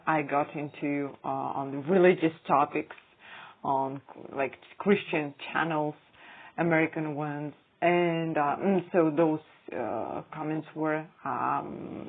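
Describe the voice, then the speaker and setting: Slow, halting woman on tinny audio. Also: low voice. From Ukraine. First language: not English. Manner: hesitant, halting with frequent pauses. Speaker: vlogger. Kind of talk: casual screen-recording commentary.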